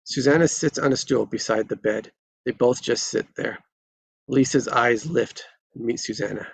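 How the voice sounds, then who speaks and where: Husky male voice, middle pitch, scripted stage-direction narration, table read, dry acoustic. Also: moderate pace, no echo.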